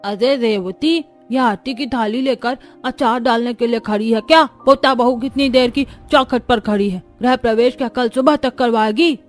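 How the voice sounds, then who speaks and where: A woman's voice: a little high, normal speed, hardly any pauses, faint music behind, close to an everyday voice. A woman voicing a bossy, impatient elderly grandmother-in-law in a cartoon family drama. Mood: scolding.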